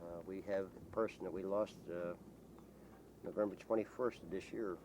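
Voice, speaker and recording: A man chatting casually in an interview, telling local stories in a folksy, rambling, halting way. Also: low voice, steady tone, noisy background. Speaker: local resident being interviewed.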